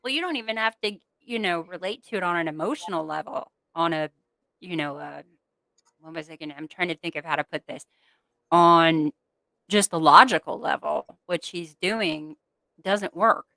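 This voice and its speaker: Bright, low-pitched woman, halting delivery. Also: gravelly, no room sound. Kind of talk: casual panel discussion.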